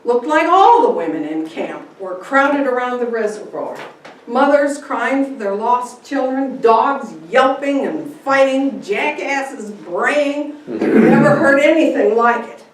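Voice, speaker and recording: A woman's voice: fairly high pitch, expressive, takes it slowly, pitched above the performer's own voice. Woman acting a folksy frontier father, echoey room. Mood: animated, amazed. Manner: folksy, emphatic storytelling. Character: weary, folksy frontier father recounting his adventures. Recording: very echoey room, distant mic, faint noise.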